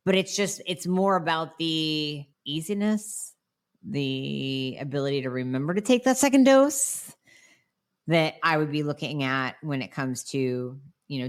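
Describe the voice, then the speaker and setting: A woman doctor hosting a podcast, answering listener questions in a blunt, emphatic way with sarcastic asides, sounding nasal as she gets over a cold. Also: sits low. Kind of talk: solo podcast Q&A.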